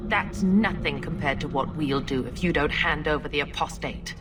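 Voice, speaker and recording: A woman's voice: low voice, moderate pace, natural voice. A woman playing a menacing, contemptuous knight officer in a fantasy video game, scornful and commanding. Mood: threatening. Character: menacing, contemptuous female templar officer hunting mages. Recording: noisy game cutscene capture.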